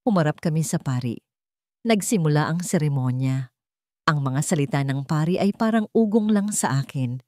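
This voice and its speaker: A woman's voice: deep voice, expressive, normal speed, darkish tone, dry recording, studio quality. A woman reading a scripted first-person story as a dramatic audiobook narrator.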